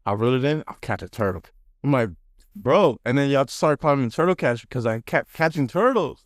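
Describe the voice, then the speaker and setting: A man hosting a casual podcast, telling stories in an animated, slangy way. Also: mid-range voice, fairly quick, no echo, studio quality. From Dominican background. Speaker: podcast host. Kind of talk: casual chat, storytelling.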